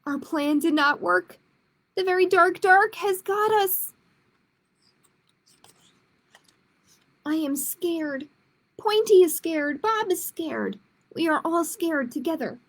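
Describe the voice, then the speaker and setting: A woman's voice: very high voice, animated, measured pace, a bit bright, voice pushed up, heavily acted. A woman voicing a small, nervous young storybook dinosaur who tries to be brave, in an earnest, dramatic way. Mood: frightened, urgent.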